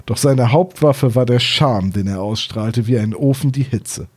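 A man's voice: medium pitch, unhurried, darkish tone, breathy edge. A man in his thirties from Germany chatting casually and enthusiastically as a podcast co-host, with a teasing streak. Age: 30s.

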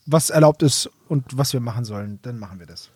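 A man's voice: medium pitch, brisk, a little mellow, husky, no room sound, clean studio sound. A man co-hosting a podcast in casual chat, with playful, slangy interjections. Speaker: podcast co-host.

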